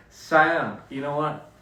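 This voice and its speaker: A young man from Australia, chatting casually and rambling with fans on a live stream. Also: a little high. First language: English. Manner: relaxed, rambling, laughing between lines. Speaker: livestreaming artist. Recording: echoey room, distant phone mic.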